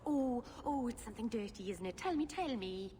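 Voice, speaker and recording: Woman doing naive young elf girl from a fantasy game, highish voice, halting n earnest, some noise behind. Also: animated, warm tone, close to an everyday voice. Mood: playfully curious. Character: gentle, naive young female elf, self-doubting and earnest. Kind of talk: cinematic fantasy video game acting.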